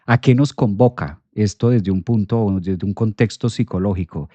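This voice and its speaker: An earnest male astrologer talks through his ideas at a measured, explanatory pace. His voice is warm and mellow, recorded close and dry with no room sound. He turns brighter and livelier whenever he voices other people's self-doubt. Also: lowish voice, no echo.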